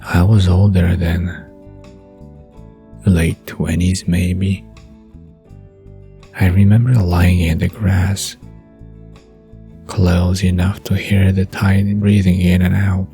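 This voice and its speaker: A man playing a wistful, dreamy man recalling the past in a soft, murmuring bedtime drama monologue. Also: low-pitched, flat delivery, slow, pauses often, faint music behind. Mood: dreamy, haunted.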